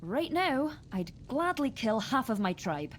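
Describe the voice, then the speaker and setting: A grieving, bitter widow from a fantasy role-playing game. Her voice sits a little high and carries a sharp tongue under the sorrow, defiant even in grief. It is clean game dialogue. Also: a little slow. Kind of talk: video game voice acting.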